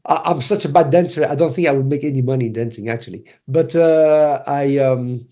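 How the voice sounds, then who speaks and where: French-accented man with a mellow voice. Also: mid-range voice, a little fast. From Cameroon. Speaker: podcast guest, mentalist. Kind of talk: casual conversational interview.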